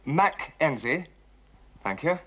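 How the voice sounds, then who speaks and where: An actor playing a suave, audacious gentleman thief with an English accent and a breezy, teasing, politely clipped manner in a period drama. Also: a little high, big pitch swings, takes it slowly, slight background noise, exaggerated voice. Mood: polite, hesitant. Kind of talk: period television drama dialogue.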